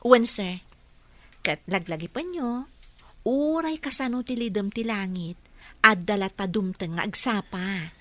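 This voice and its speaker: A woman's voice: medium pitch, animated, measured pace, pushed and bright, no echo. A woman playing an attentive, encouraging housekeeper and carer in a radio drama, with an Ilocano Filipino accent. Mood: respectful, encouraging.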